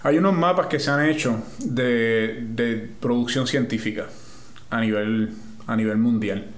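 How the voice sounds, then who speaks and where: A man with a Puerto Rican accent answers interview questions at a middling pitch. He talks things through, restarting and rephrasing his sentences. The room around him echoes. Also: a little slow. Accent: Puerto Rican Spanish. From Puerto Rico. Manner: thoughtful, restarts and rephrases while explaining. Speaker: scientist guest.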